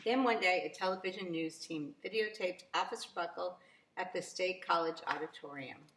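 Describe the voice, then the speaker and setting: A woman reading a picture book aloud to young children as a teacher, very expressively, with drawn-out emphasis. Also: lowish voice, a bit bright, a little hiss or hum. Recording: roomy, echoing sound.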